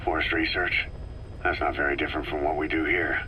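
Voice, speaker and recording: An actor voicing a grave, guarded mercenary commander in a video game, speaking in terse, probing phrases. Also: male voice, very deep voice, little pitch movement, a little fast, pushed and bright, slight background noise, rough recording. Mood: curious, dry. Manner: terse, clipped questions. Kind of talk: scripted video game voice acting.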